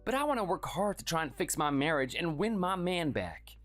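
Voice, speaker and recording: A man's voice: on the high side, very animated, fairly quick, faint music behind. Someone voicing a timid, sickly husband in his thirties. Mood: wistful. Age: 30s. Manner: soft, hesitant, pleading. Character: meek sickly young husband, timid and apologetic.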